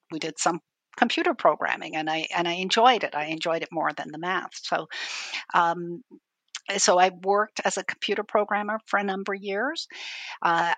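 A woman's voice: on the low side, a bit bright, no echo. Dry-voiced woman from Ireland, talking shop. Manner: conversational, explaining at length with frequent restarts. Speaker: university IT chief, interview guest. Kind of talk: casual interview conversation.

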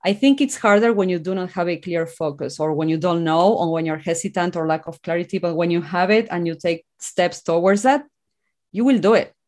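Lower-pitched Argentine-accented woman. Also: brisk, a little mellow. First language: Spanish. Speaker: podcast guest. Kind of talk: conversational personal storytelling.

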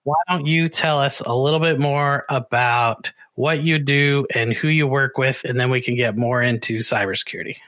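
A Canadian man hosting a casual podcast interview. His voice is bright and mid-pitched, recorded dry and close. He talks in a chatty, rambling way, telling things like stories. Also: moderate pace, few pauses, no room sound. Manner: chatty, rambling, animated storytelling. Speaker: podcast host.

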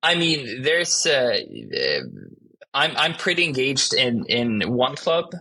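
A young man whose first language is Swedish talks as an interview guest, stopping and restarting as he hunts for the right words. His voice is bright and a bit husky. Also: medium pitch, sing-song, low-quality recording. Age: 20s. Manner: halting, searching for words, many restarts. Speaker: guest, art director. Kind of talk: casual interview talk.

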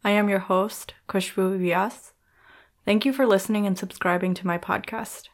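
A woman's voice: medium pitch, fairly even intonation. Soothing woman guiding meditation. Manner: soothing, measured instruction with gentle pauses. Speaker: podcast host and yoga nidra guide. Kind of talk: guided meditation narration.

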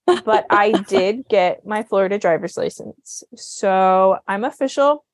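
A woman co-hosting a podcast, telling stories about her week in an animated, chatty way. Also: mid-range voice, measured pace, no room sound. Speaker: podcast co-host. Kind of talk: casual chat.

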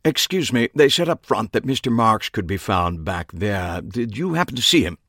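A man's voice: on the low side, big pitch swings, normal speed, a bit bright, no echo, clean studio sound, heavily acted. Man putting on a pompous, affected British eccentric. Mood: curious, formal. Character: tall eccentric British male journalist, affected and disdainful.

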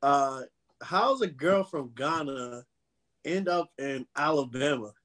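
A man hosting a podcast, chatting casually with a guest in an energetic, slangy way and laughing a lot. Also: medium pitch, slow. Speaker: podcast host.

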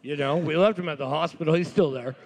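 A man co-hosting a trivia night, throwing in absurd deadpan jokes and egging the teams on. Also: on the high side, quick pace. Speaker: co-host. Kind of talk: joking banter.

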